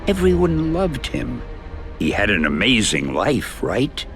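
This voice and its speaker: An actor playing a kindly, folksy old mentor in a video game, gravelly and encouraging. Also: male voice, mid-range voice, wildly swooping pitch, a little slow, a bit bright, faint music behind, heavily acted. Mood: gentle, encouraging. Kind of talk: video game dramatic acting.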